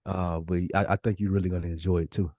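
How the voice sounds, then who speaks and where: American male podcast co-host, lower register, dry sound, informal slang-heavy conversational storyteller who swears freely. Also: little pitch movement, quick pace, dark tone, dry recording. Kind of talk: casual chat with animated storytelling.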